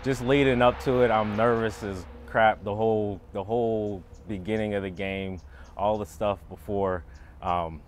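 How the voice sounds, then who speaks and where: A man's voice: on the low side, steady tone, unhurried, slight background noise. A man, a football player, casually recounting his experience in an interview.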